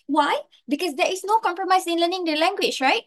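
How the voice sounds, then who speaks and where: A woman's voice: very high voice, a little fast. A young Malaysian woman with a Malaysian accent, giving her opinion on a podcast panel and talking thoughtfully, with frequent fillers and "right?" tag questions. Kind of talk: opinion discussion.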